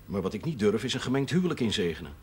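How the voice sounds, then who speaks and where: An actor playing a fatherly, wry village parish priest in a television period drama, gently admonishing. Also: lowish voice, big pitch swings, rapid-fire, husky, full character voice. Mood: paternal, concerned. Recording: noisy old television drama soundtrack.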